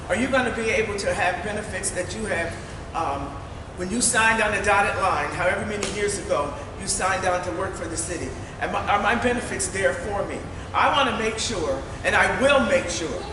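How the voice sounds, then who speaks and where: A woman running for mayor, giving an earnest, emphatic forum speech that repeats phrases for stress. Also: mid-range voice. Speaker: mayoral candidate. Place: large meeting hall. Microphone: distant room mic. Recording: echoey hall from far off, noisy.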